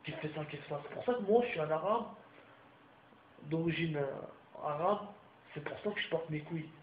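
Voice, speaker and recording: A man's voice: on the high side, normal speed. Heated young man ranting, roomy sound. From France. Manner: heated, repetitive, crude slang, addresses viewers directly. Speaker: vlogger. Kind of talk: ranting monologue to camera. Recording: roomy, muffled home recording with faint background hiss.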